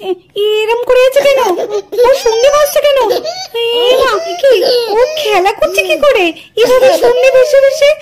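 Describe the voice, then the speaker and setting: Woman doing a shrill, panicky village aunt. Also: normal speed, heavy background noise. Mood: alarmed. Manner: stammering, shrieking. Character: panicky young village aunt scared of ghosts.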